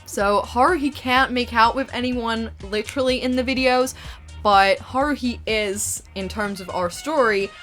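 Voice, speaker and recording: A woman's voice: fairly high pitch, moderate pace, fairly bright tone. A woman answering viewer questions as a co-creator, in a casual, chatty and enthusiastic way. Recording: voice over background music. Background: instrumental music.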